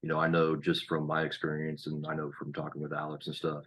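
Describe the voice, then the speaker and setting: A man's voice: very deep voice, monotone, quick pace, a bit bright, slightly rough. A man in his late thirties from Kansas hosting a podcast, talking in a laid-back, rambling way with lots of fillers. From Kansas, USA. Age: 30s. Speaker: podcast host. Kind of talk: casual podcast interview.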